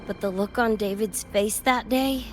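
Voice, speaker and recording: A woman's voice: medium pitch, measured pace, natural voice. A woman voicing a brash, cocky young mercenary with slangy, streetwise swagger in a video game. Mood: somber, reflective. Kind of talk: animated video game voice acting. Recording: music under the voice.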